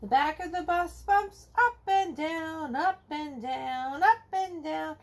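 A middle-aged woman reading a children's picture book aloud to kids at home, slowly singing the verses in a sing-song way. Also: sits high. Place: home living room, indoors.

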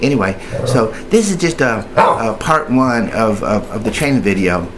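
A man's voice: on the low side, expressive, moderate pace, very noisy. A man speaking as a union member in an informal training video, chatty and hesitant, rambling along and often repeating himself. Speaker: union member giving tips.

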